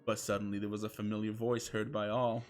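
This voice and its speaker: A man's voice: fairly low pitch, flat delivery, fast, faint music behind. A man reading fan fiction aloud as a comic dramatic narrator, mock-serious and emphatic.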